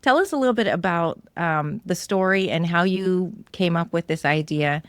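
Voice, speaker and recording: Woman, podcast host, lowish pitch, casual interviewing, dry close sound. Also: moderate pace, smooth, dry recording.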